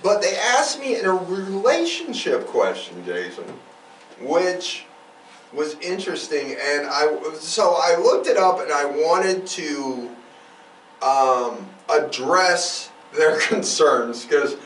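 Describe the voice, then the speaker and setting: A man's voice: on the high side, very animated, takes it slowly, fairly bright tone. A man hosting a podcast, chatting casually in a rambling, conversational, explanatory way. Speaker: podcast host. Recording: roomy echo, distant mic, faint noise.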